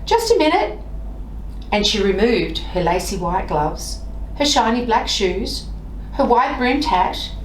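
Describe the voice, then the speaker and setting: A woman reading a children's storybook aloud in an expressive, deliberate way, with sing-song repeated phrases. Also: mid-range voice, far from the mic. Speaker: library staff member reading stories. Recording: roomy echo, off-mic, faint noise.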